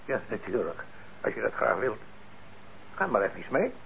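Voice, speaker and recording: An actor in a Dutch radio play playing a kindly, weary older instrument repairer, mild and hesitant, with a gravelly voice. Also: male voice, lowish voice, big pitch swings, moderate pace, a bit bright, exaggerated voice. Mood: mild, busy. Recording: muffled, noisy old radio recording.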